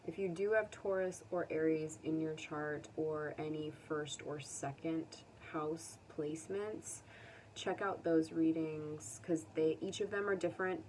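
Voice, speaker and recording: An American woman giving a casual, unscripted tarot reading to viewers, conversational and musing, pausing to think aloud. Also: low-pitched, darkish tone. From United States. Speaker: tarot reader. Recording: distant mic with faint background noise.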